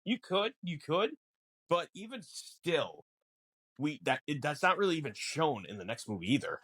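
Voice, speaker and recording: A man co-hosting a casual film podcast, explaining things in a halting way with repeated words. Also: on the high side, big pitch swings, fairly bright tone, husky, no echo. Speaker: podcast co-host. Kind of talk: casual film discussion chat.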